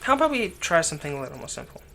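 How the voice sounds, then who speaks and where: A man playing a doting, slyly manipulative villain captor in an intimate ASMR roleplay, speaking gently and coaxingly with unhurried pauses. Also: a little high, very animated, vocal fry, a little hiss or hum, exaggerated voice. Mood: tender, flattering.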